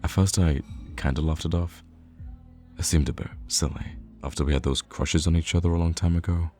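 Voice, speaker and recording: A man's voice: rumbling low, gravelly, a little mellow, husky, exaggerated voice. A man playing a smitten young best friend in an intimate romantic ASMR roleplay, speaking hesitantly and tenderly with some playful teasing.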